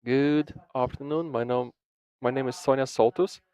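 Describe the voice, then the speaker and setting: A man interpreting a panel discussion into English live, halting often, repeating words and restarting his sentences. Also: mid-range voice, fairly even intonation, a little mellow, clean tone. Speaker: simultaneous interpreter. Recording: dull, dry lo-fi sound.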